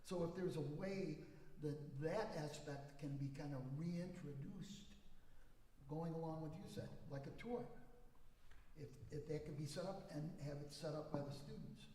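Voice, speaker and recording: Loud earnest man in echoey hall. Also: on the high side, moderate pace, a little hiss or hum. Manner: earnest, repeats himself, loud voice. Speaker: alumni panelist, former bandleader. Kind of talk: panel discussion.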